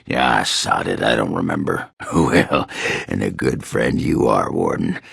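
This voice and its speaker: An actor playing a gruff, crude, boastful dwarf berserker in a fantasy video game, with a rough, husky voice and a blunt, swaggering manner. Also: male voice, extremely low pitch, expressive, measured pace, fairly bright tone, dry recording, studio quality, exaggerated voice. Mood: gruff, casual.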